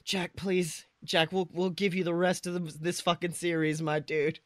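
A man co-hosting a podcast in casual comedic chat, animated and profane, often acting out imagined quotes. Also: high-pitched, moderate pace, no echo, studio quality. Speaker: podcast co-host.

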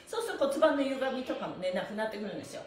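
Woman, middle-aged, high-pitched, upbeat exercise instructor, sing-song, encouraging, echoey room. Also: big pitch swings, racing. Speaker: stretching instructor and livestream host. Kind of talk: exercise class livestream, chatty instruction with counting chants. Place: small bare-walled indoor studio room. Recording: roomy echo, mic a little away.

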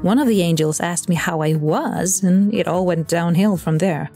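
A woman's voice: on the low side, normal speed, mellow, soft background music, close to an everyday voice. A woman playing a socially awkward, flustered newcomer to Heaven, rambling anxiously in an audio drama monologue.

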